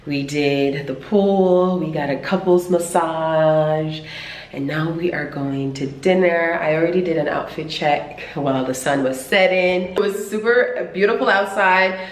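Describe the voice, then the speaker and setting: Woman in her twenties, playful vlog chat, slow relaxed delivery, roomy echo. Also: low voice. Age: 20s. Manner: playful, chatty, drawn-out emphasis. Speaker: vlogger. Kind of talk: casual vlog to camera. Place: hotel room, indoors. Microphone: camera held at arm's length.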